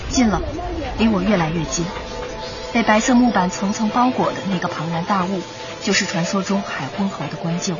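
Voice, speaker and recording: A woman's voice: medium pitch, brisk, over a noisy crowd. Woman, radio documentary narration, scripted and dramatic, music bed, dulled sound. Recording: slightly muffled, music underneath.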